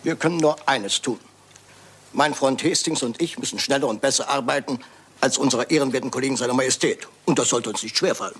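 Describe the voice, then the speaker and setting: A man's voice: mid-range voice, brisk, a bit bright, husky. A man playing a shrewd, courteous detective with a dryly ironic, probing manner in a German radio crime drama. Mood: dryly superior. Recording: hissy, noisy old radio play recording.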